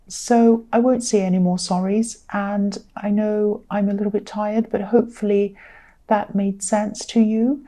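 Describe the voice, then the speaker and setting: A tired woman with a croaky, mellow voice talks through a tarot spread. She speaks earnestly and circles back to repeat her points for emphasis. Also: medium pitch. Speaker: tarot reader. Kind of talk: casual tarot reading to camera.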